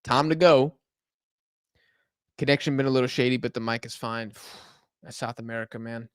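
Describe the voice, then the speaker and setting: Casting: young male streamer, tired and a bit hoarse, rambling chat, dry close sound. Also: medium pitch, normal speed, no room sound. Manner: chatty, rambling, answers chat questions. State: tired, losing his voice. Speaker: live stream host. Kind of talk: casual live stream chat. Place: home room in Argentina.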